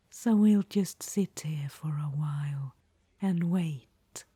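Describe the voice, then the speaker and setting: A woman's voice: fairly low pitch, warm tone, dry recording, exaggerated voice. A woman voicing an ancient, vain undead witch-queen in an audio roleplay, whispering and calling the listener darling. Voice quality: breathy whisper.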